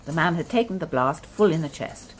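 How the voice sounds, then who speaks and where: An actress playing a calm, courteous police doctor in a radio drama, professional and dryly witty. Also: female voice, sits low, a little fast, poor audio.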